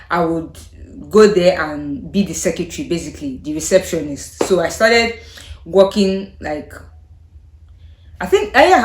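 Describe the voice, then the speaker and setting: A young Nigerian woman, a native Yoruba speaker, chatting casually to camera in her vlog with a Nigerian accent, thoughtful and hesitant, often trailing off softly. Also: on the low side. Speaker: vlogger. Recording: echoey room.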